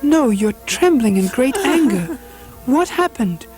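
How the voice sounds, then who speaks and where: A woman's voice: high voice, sing-song, unhurried, a little mellow, faint music behind. A woman playing a tender, anxious queen mother in a puppet show, with a solicitous theatrical delivery. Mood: worried, soothing.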